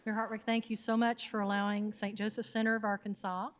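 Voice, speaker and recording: A woman's voice: mid-range voice, flat delivery, fast. Woman introducing a team, thankful. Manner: warm, thankful. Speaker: nonprofit representative. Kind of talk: introducing guests at a public meeting.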